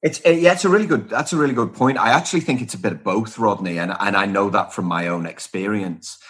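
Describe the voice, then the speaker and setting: Middle-aged man from Liverpool, low-pitched and husky. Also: fast, a bit bright. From Liverpool, England. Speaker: podcast guest, speaker and author on workplace culture. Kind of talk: conversational interview answers.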